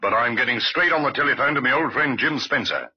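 Husky, exasperated suburban father. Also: medium pitch, animated, fast. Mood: stern. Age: middle-aged. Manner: blunt, scolding. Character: exasperated, stern middle-aged suburban father. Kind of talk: old-time radio acting. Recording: muffled old radio recording.

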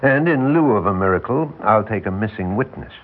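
An actor playing an older, world-weary defense lawyer, folksy and wry, with rambling, emphatic delivery in a 1960s radio drama. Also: male voice, on the low side, lively intonation, a little fast, phone-quality sound. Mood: wry, musing. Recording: band-limited vintage 1960s radio recording.

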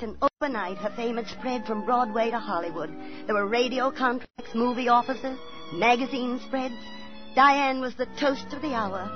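A woman's voice: on the high side, lo-fi sound, lightly acted. An actress playing a motherly, watchful boarding-house landlady in 1940s radio drama style, on an old band-limited broadcast.

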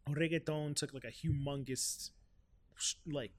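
A man in his thirties from South Florida with a high-pitched voice, chatting loosely, with lots of "like" and "right?". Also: fairly even intonation, unhurried. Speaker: guest musician. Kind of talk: casual podcast conversation.

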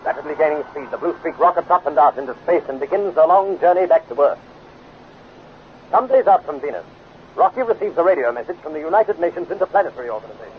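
A tinny, faintly noisy old radio recording of an actor as an adventure narrator. He proclaims each scripted line urgently in a fairly high voice, in 1950s serial style. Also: animated, fast, natural voice. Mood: dramatic. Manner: declamatory, urgent. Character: dramatic male narrator of a space adventure serial.

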